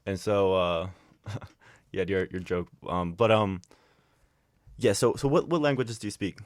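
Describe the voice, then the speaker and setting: Low smooth-voiced American host asking. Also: male voice, measured pace, no room sound. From United States. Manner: hesitant, filler-heavy questions. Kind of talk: casual interview questions.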